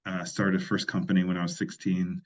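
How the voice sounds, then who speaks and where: A man's voice: low voice, completely flat delivery, brisk. A man in his forties from Liberia, a podcast guest telling his life story in a reflective, self-deprecating way, often restarting his sentences. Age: 40s. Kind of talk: casual interview conversation.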